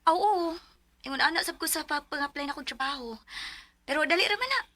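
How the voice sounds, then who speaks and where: High-voiced chatty Cebuano friend. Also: female voice, expressive, brisk, bright, forceful tone. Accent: Cebuano Philippine. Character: chatty, helpful young female boarding-house friend. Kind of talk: 1990s Bisaya radio drama acting.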